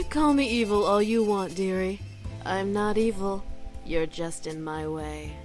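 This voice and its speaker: A sly, seductive succubus demon speaks at an unhurried pace over music. She is haughty and condescending, drawing out her taunts like a visual novel villainess toying with a lesser creature. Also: mid-range voice, expressive. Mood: smug, commanding. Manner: teasing, haughty, drawn-out taunting delivery.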